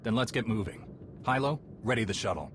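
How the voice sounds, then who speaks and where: Actor as male commander, firm, resolute, lower pitch, video game cutscene, faint background noise. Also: lively intonation, fairly quick, natural voice. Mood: determined. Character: resolute male commander leading a space mission. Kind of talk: scripted video game acting.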